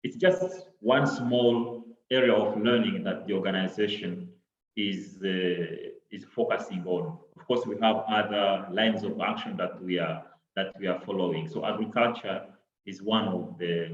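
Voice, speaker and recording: A middle-aged man from Congo with a Congolese accent, his first languages Kiswahili and French, giving an online talk in a halting way full of repeats and "you know". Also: sits low. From Democratic Republic of Congo. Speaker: presenter. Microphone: laptop on a video call. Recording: echoey, dull laptop audio.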